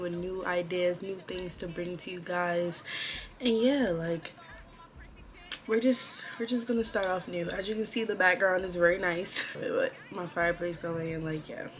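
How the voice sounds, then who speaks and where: Woman, twenties, slightly low pitch, casual vlogger, chatty, informal girl talk to camera. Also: fairly bright tone, a little hiss or hum. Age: 20s. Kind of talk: casual chit-chat to camera.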